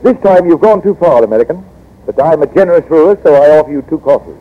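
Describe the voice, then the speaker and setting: An imperious, vain ruler with grand scorn. The pitch is highish and very animated, and the vintage radio recording is dull. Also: male voice, fast talker. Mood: scornful, threatening. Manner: grand, pompous. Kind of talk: old-time radio drama acting.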